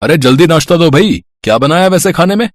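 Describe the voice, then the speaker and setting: A man's voice: fairly high pitch, expressive, quick pace, close to an everyday voice. A man voicing a fussy, grumbling family man in a moral story cartoon. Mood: irritated.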